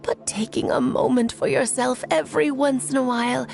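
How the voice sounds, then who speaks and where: An actress playing a composed, wry mentor in a video game, with a measured, teasing delivery. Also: female voice, high voice, steady tone, creaky, darkish tone, soft background music. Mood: pleased, advising.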